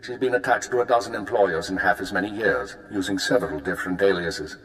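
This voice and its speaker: An actor playing a composed intelligence handler giving a mission briefing in a video game, formal and clipped. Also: male voice, sits low, a little fast, fairly bright tone, poor audio. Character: cool, precise male intelligence handler.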